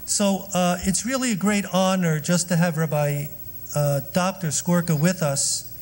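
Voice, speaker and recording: A man's voice: high voice, a little slow, darkish tone. A man introducing a guest speaker at a synagogue event, chatty and joking, with many fillers. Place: synagogue hall. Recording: long hall reverb.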